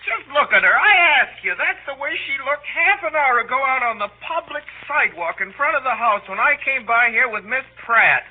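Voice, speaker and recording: A man playing an earnest, pompous, lovestruck teenage boy in a 1930s radio drama, easily indignant and given to exclamation. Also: extremely high pitch. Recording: old 1930s radio, narrow tinny band.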